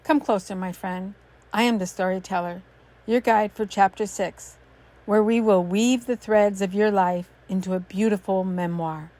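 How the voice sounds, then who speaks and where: A woman voicing a wise storyteller with a rich, warm, hushed delivery. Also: medium pitch, steady tone, normal speed, pitched below the performer's own voice, lightly acted. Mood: intimate.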